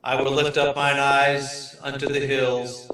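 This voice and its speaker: A man's voice: medium pitch, completely flat delivery, a little slow, very echoey, distant mic, close to an everyday voice. A man plays a prison priest in an old-time radio drama. He recites scripture solemnly in a mid-range voice, with faint noise on the recording. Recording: faint noise, home video-call recording.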